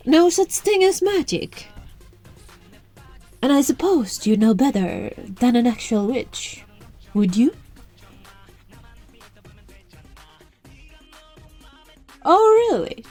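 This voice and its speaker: Slow, lilting, alluring witch acted by a woman. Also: a little high, expressive, mellow, exaggerated voice. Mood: challenging, playful. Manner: teasing, coaxing, unhurried. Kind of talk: ASMR roleplay addressed to the listener. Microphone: phone. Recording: phone recording with music and night crickets.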